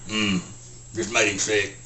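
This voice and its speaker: A man voicing a slow, simple-minded rural Southern man, telling a folksy story in halting, deadpan short sentences with grunted hums and a Southern US accent. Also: on the low side. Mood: deadpan, plodding. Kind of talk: folksy storytelling, film character impression. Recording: roomy echo, faint background noise.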